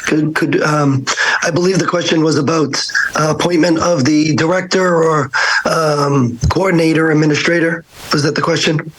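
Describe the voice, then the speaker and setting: A hoarse man, an elections official, answering questions remotely at a council meeting, halting with many fillers. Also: fairly high pitch, steady tone, a bit bright, low-quality recording. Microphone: video call.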